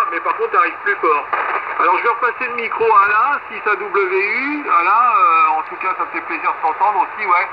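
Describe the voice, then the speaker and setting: A man talking casually as a ham radio operator, rambling with many fillers and restarts as he passes the mic around. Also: sits high. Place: home radio station. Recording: lo-fi shortwave radio with static.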